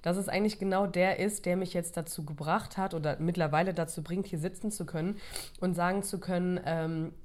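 A woman with a slightly low voice talks loosely about her subject, restarting sentences and filling pauses as she goes. She has a cold, so her breathing is a little short. The delivery is unscripted and conversational. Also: steady tone, darkish tone. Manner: rambling, many restarts and fillers. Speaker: course creator and podcast host. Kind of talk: casual monologue.

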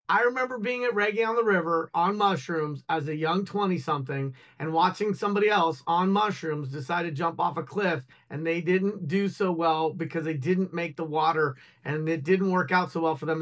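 A man's voice: on the high side, normal speed, fairly bright tone. A man co-hosting a casual news talk show, joking and rambling. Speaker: co-host. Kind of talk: casual panel chat.

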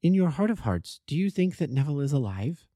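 A man voicing an anxious, earnest Victorian wife, raising his voice high and pleading. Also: very animated, fairly quick, dark tone, no room sound.

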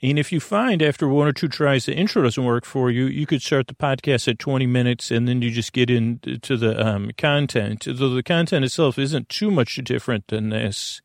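A man with a creaky voice hosting a sleep podcast, meandering through halting, self-deprecating tangents. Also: mid-range voice, dry recording, studio quality. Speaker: podcast host. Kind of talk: rambling bedtime sleep podcast monologue.

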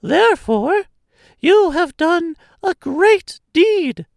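A tiny queen of the field mice, acted by a man, squeaks in an extremely high voice. She is proud and imperious, drawing out her words slowly in theatrical storybook fashion. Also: very animated, vocal fry, no echo, clean studio sound, full character voice. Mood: regal. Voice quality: squeaky, little voice. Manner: imperious, emphatic. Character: tiny proud female field mouse queen, regal and grateful. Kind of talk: theatrical storybook character reading.

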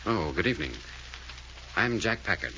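An actor in 1940s radio style playing a level-headed American adventurer who leads his companions in a crisp, no-nonsense way. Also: fairly low pitch, animated, moderate pace, bright, forceful tone. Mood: calm, businesslike. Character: level-headed, brisk, commanding adventurer, leader of trio. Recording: dull, noisy old-time radio recording.

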